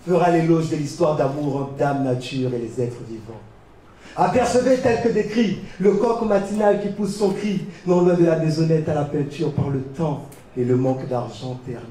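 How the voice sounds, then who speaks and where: A man from Gabon reciting slam poetry on stage in a declamatory, dramatic way with deliberate pauses. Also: a little high, dark tone. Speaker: slam poet. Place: live poetry competition venue. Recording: distant mic, very echoey venue.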